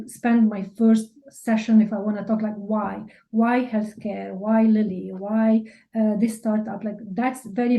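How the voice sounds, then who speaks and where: A woman's voice: a little high, mellow, poor audio. A woman from Lebanon with a Lebanese accent, answering interview questions candidly and informally, often saying "like". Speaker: interview guest, business leader and mentor.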